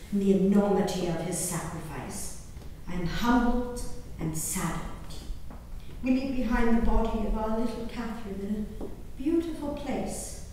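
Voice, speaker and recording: A woman performing an earnest, theatrical diary monologue as an emotive eighteenth-century colonial gentlewoman, with formal diction. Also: medium pitch, natural voice. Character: grieving, nature-loving 18th-century colonial gentlewoman reading her diary. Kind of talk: dramatic stage monologue reading of a diary. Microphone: distant room microphone. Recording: very echoey hall, distant mic, background hiss.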